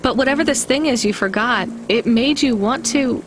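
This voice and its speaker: An actress playing a practical, inquisitive young courier in a video game, talking in a wry, matter-of-fact way. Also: female voice, fairly high pitch, normal speed, a little hiss or hum, lightly acted. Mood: wry, probing.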